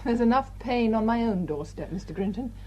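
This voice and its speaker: A British actress playing a brisk, unflappable village doctor in a radio drama, wry and matter-of-fact. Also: female voice, on the high side, animated, warm tone, rough recording. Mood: weary.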